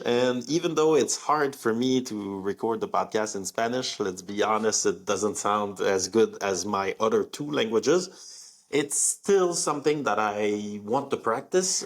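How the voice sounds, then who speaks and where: A young man with a French accent is talking through his plans on his own live podcast, speaking English as a second language. His voice sits at a medium pitch, and he keeps it loose and chatty, with plenty of fillers. He leans up in pitch on the words he wants to stress. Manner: conversational, many fillers, rising emphasis on key words. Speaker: podcast host. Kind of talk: casual solo talk on a live podcast.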